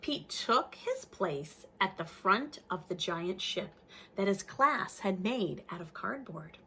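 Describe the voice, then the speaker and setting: A woman reads a picture book aloud to young children in an expressive way, adding simple, friendly-worded explanations as a teacher would. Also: mid-range voice, takes it slowly, a little hiss or hum.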